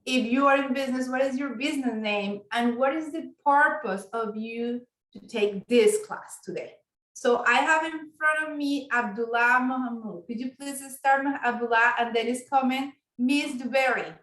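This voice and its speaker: A woman's voice: sits high, normal speed, a bit bright. A woman who speaks English as a second language, leading an online business workshop as instructor in an encouraging, appreciative manner. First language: not English. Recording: roomy echo on online video call.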